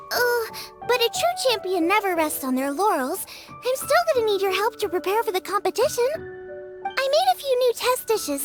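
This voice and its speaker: An eager teenage girl chef from a video game. Her girlish voice is high and very animated, with a confident, chatty delivery. Music is clearly audible underneath.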